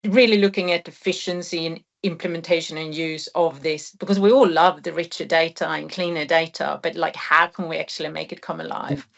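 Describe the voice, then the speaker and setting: Low-voiced woman, slightly dull sound. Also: steady tone, brisk, gravelly, hardly any pauses. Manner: thoughtful, explanatory, with fillers. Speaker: expert guest. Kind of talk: conversational podcast interview.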